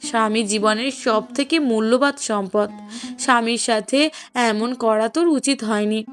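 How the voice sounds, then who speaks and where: A woman voices a caring young wife in a cartoon family drama. Her voice is high and sweet, with a coaxing, emotive delivery, over a music bed. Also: close to an everyday voice. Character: loving young wife, expectant mother, caring and anxious. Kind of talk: cartoon dialogue acting.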